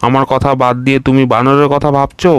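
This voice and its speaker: Cartoon crocodile, male, lazy, meek, mid-pitched and flat; man voicing. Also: quick pace, smooth, dry recording, lightly acted. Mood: easygoing. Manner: easygoing and meek. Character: lazy, plump, soft-hearted male crocodile. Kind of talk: cartoon voice acting.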